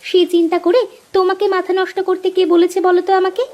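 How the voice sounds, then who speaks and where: Woman as a high-voiced blunt village wife. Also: level tone, fast talker, darkish tone. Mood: curt, scolding. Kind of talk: Bengali cartoon folk-tale voice acting. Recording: noisy ambience behind the voice.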